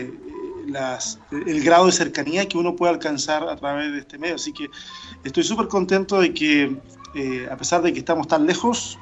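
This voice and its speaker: A man, a pastor being interviewed on the radio, who talks reflectively with frequent fillers and restarts. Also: fairly high pitch. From born in Argentina, raised in Chile. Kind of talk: casual interview over a remote call. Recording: dull lo-fi remote line with faint music.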